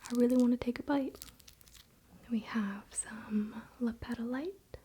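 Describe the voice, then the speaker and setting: Slow, breathy whispering woman. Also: a little high, fairly even intonation. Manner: unhurried, soft-spoken, informal. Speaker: ASMR creator and crystal seller. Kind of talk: whispered ASMR, casual chat.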